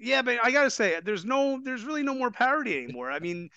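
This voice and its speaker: Fast bright-voiced guy in his 40s, rambly and joking about himself, dry close sound. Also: extremely high pitch, big pitch swings, no room sound, dull audio. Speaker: guest. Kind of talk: casual podcast chat.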